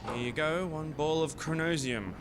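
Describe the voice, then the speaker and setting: An actor playing a cheerful, boastful lab sidekick in a comic radio play, chirpy and playful. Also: male voice, on the high side, normal speed, heavy background noise, lightly acted. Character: cheerful, boastful male lab sidekick who likes a gamble.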